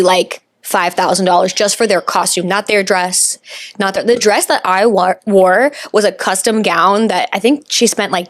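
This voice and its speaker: Podcast guest: American woman, twenties, chatty and slangy, mid-pitched, recorded dry. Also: no echo. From New York, USA. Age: 20s. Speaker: podcast guest, pageant titleholder. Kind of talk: casual chat.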